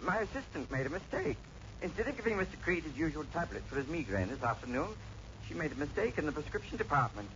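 An actor playing a flustered, apologetic chemist, anxious and stammering, in a 1960s radio drama. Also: male voice, on the high side, sing-song, fast talker, noisy background. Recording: muffled vintage radio recording.

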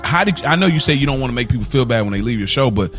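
A man's voice: mid-range voice, animated, very fast, a bit bright, faint music behind. A man hosting a podcast in a casual, conversational style, posing questions to others. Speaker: podcast host.

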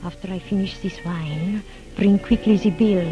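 An actress playing a clever, secretive spy in a 1940s radio drama, giving deliberate, clipped orders. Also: female voice, mid-range voice, dark tone, natural voice. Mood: hushed, commanding. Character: clever, secretive foreign female spy, commanding and guarded. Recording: dull old radio recording, faint music.

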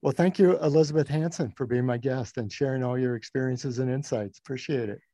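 A man's voice: mid-range voice, a little fast, warm tone, dry recording. A man speaking as the host of an interview podcast.

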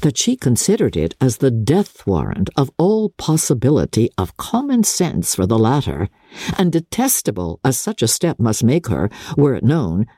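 A woman narrates a classic novel with a theatrical, measured delivery. Her pitch swings widely and dramatically, and the tone is dark and mellow. The sound is dry and close. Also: slightly rough, dry recording. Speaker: audiobook narrator. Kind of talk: dramatic scripted literary narration.

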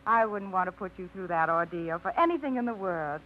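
A woman's voice: fairly low pitch, lively intonation, fairly quick, lightly acted. Actress as wry young woman, dry wit, tinny old radio sound, faint hiss. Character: wry, independent young woman, tired of routine. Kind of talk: 1950s radio drama acting.

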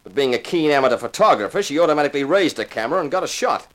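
A man's voice: on the high side, expressive, racing, fairly bright tone. An actor playing a tough, wisecracking insurance investigator who narrates his own case in a 1950s radio crime drama, with a sardonic, commanding manner. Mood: deliberate, firm. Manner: hard-boiled, sardonic, commanding.

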